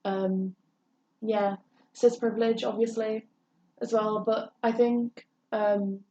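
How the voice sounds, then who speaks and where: A young woman talks candidly, circling her thoughts with frequent pauses and fillers. Her voice is mellow and sits well back from the mic. The room echoes around her, and a dull, lo-fi sound carries a faint hiss. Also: a little high, flat delivery, distant mic. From England. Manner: earnest, rambling, frequent hesitations. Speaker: podcast co-host. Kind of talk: candid personal chat.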